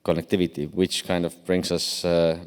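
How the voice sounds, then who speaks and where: Deep smooth voice, estonian guy on a panel, lots of uhs, big echoey hall. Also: flat delivery. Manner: halting, many fillers and restarts. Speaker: deputy cyber commander on a panel. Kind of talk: conference panel answer. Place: large conference hall. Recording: long hall reverb.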